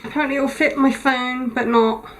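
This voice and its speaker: A tired woman recording a casual video diary, her voice thin and weak, talking haltingly with many pauses. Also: high voice, fairly even intonation, rough recording. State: tired, low on energy. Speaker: vlogger. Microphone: camera mic.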